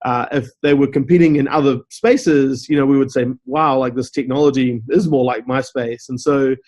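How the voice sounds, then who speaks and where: A man from New Zealand with a New Zealand accent, answering interview questions in a steady, explanatory way and often saying "you know". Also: mid-range voice, normal speed. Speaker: guest, company CEO.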